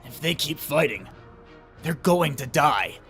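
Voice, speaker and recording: A man voices an earnest young fantasy hero. He speaks slowly and anxiously, with emphatic pauses and creak in a fairly high male voice, over clear music. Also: expressive, a bit bright, heavily acted. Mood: desperate pleading. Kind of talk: animated fantasy character acting.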